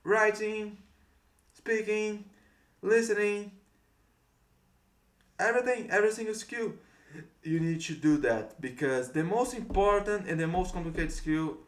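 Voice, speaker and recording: A man's voice: high voice, a bit bright, roomy sound. A man from Brazil with a Brazilian Portuguese accent, a native Portuguese speaker, giving earnest advice on learning English and repeating his encouraging phrases. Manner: earnest, repetitive coaching phrases. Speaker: English tutor. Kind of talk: motivational talk to camera.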